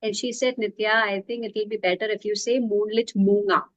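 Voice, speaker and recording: A woman speaks as a poet on a literary panel. Her voice is mid-pitched, and the recording is close and dry. Her tone is conversational. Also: steady tone, brisk, fairly bright tone, no echo, lo-fi sound. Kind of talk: panel discussion.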